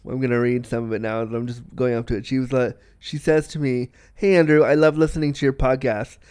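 A man's voice: medium pitch, fairly even intonation, a little fast, a little mellow, clean tone, dry recording, clean studio sound. A man hosting a podcast, chatting casually and rambling, sniffly with a cold. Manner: chatty, rambling, repeats himself. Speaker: podcast host. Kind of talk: casual solo podcast chat.

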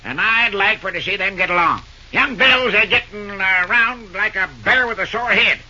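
An actor in an old-time radio serial playing a deferential country hotel clerk with a rural American accent and neighborly, homespun speech. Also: male voice, high-pitched, moderate pace, sharp tone, full character voice. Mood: concerned. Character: folksy, deferential small-town hotel clerk. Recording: dull, noisy old radio recording.

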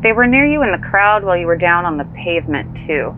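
A woman playing a brisk, dry, efficient assistant in an audio drama, heard through a phone filter. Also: on the low side, expressive. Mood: matter-of-fact. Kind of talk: naturalistic audio drama acting. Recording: tinny phone line, loud car hum.

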